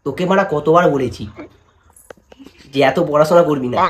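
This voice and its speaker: A man's voice: a little high, fairly quick, slight background noise. A young man with a West Bengali accent chatting to viewers and making prank calls in a playful, mock-dramatic, pleading manner. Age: young adult. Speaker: prank-calling vlogger. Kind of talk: casual vlog chat and prank phone calls.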